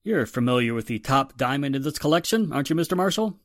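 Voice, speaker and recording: A man playing a businesslike police inspector, forceful in questioning, in a 1940s radio drama style. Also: mid-range voice, expressive, fast talker, no room sound, lightly acted. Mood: probing.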